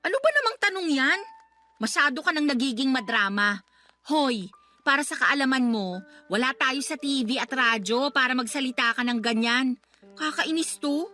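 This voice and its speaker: A woman's voice: high voice, expressive, moderate pace, pushed and bright, soft background music. A snappy, defensive girlfriend. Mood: irritated. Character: careless, defensive young girlfriend, easily irritated. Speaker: girlfriend in a radio drama. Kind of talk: radio drama acting.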